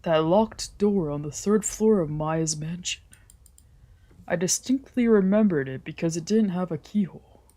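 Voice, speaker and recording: A woman reading first-person visual novel narration aloud in an expressive storytelling style. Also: lowish voice, normal speed.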